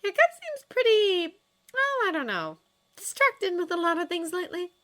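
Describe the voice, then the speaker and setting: A woman's voice: very high-pitched, big pitch swings, normal speed, a bit bright, voice pushed up, exaggerated voice. A woman voicing a bold, probing young woman dragon rider, assertive and teasing, in a story reading.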